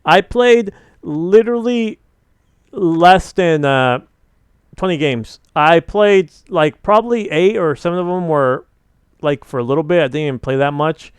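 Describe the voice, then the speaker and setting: A man's voice: on the high side, unhurried, clean tone, no echo. A young man giving casual, opinionated commentary on gaming news, rambling with many fillers and restarts. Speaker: gaming channel host.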